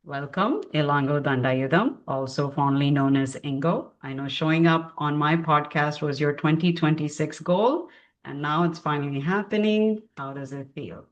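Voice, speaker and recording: A woman's voice: low voice, moderate pace, highs cut off. A woman in her forties hosting a podcast, introducing her guest admiringly with some fillers. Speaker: podcast host.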